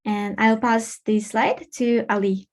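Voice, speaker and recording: A young woman from Kazakhstan hosting an informal online event, speaking haltingly with pauses mid-sentence. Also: a little high, steady tone. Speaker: event host and facilitator. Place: online video call.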